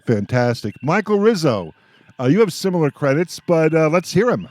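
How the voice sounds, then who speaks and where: A man's voice: fairly high pitch, sing-song, normal speed, a little mellow, dry recording. A man hosting a casual radio roundtable, talking in a jovial, joking way. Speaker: radio show host.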